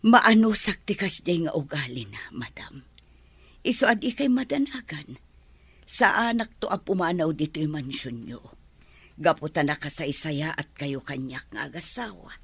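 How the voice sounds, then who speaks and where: A woman's voice: fairly low pitch, vocal fry, husky, no echo, exaggerated voice. A woman acting a deferential, grateful housemaid with an Ilocano accent in a radio drama.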